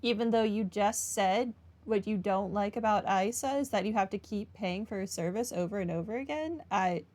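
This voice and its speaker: A woman's voice: medium pitch, moderate pace, few pauses, darkish tone. A woman giving casual, sarcastic, skeptical commentary as she plays a game. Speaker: let's-play commentator. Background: game music.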